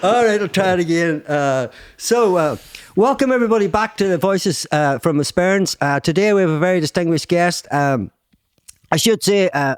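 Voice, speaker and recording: A man's voice: on the high side, smooth, no room sound. Chatty Northern Irish man hosting. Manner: chatty, laughing, many fillers. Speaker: podcast host. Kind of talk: casual chat.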